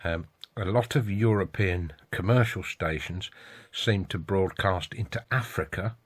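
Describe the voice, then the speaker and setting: A British man with a very deep, slightly rough voice talks casually behind the camera. He rambles wryly as he explains, turning to the listener with questions and little tags. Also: a little slow. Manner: rambling, wry, rhetorical questions and tag questions. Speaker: video host, radio hobbyist.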